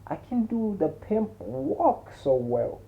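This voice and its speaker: A man's voice: sits high, very animated, slow, vocal fry. A man narrating a dramatic first-person story in a colloquial, slangy way. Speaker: audiobook narrator. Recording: muffled, narrow phone-like sound.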